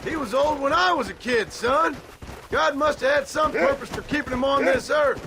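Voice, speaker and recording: A high-pitched rural Western rancher father. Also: moderate pace, noisy background. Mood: wry. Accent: American, rural Western. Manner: plain-spoken, folksy, firm. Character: gruff, protective rancher father with a weary conscience. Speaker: game character actor. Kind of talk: dramatic video game dialogue. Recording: loud outdoor horse-ride ambience over dialogue.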